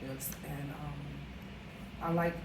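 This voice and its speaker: A woman's voice: low voice, steady tone, measured pace, far from the mic. A woman from Philadelphia, a caterer and realtor, chatting casually as an interview guest and rambling with many fillers. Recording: echoey room, off-mic, noisy.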